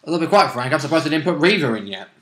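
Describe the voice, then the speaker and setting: A British man with a slightly high, sharp-edged voice talks casually as co-host of a role-playing vidcast. He is colloquial and opinionated, swearing mildly here and there. The room rings noticeably around him. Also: big pitch swings, racing, a little hiss or hum. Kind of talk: casual chat recapping a role-playing campaign. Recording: echoey room.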